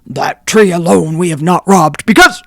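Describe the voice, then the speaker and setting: A woman voicing a blustering, cowardly brigand chief in a storybook reading, loud and wailing. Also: on the low side, normal speed, vocal fry, dry recording, studio quality, voice pushed down.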